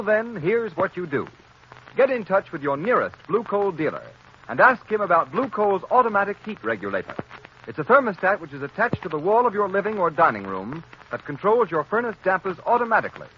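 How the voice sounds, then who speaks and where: A man announcing a scripted advertisement in emphatic, salesmanlike 1930s radio style. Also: high-pitched. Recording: muffled, noisy 1930s radio recording.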